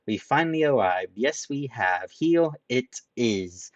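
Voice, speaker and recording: A man's voice: mid-range voice, animated, normal speed, no echo, lo-fi sound, pitched above the performer's own voice, lightly acted. A man reading a story aloud, voicing a cheerful young woman who talks in a chirpy, sing-song way.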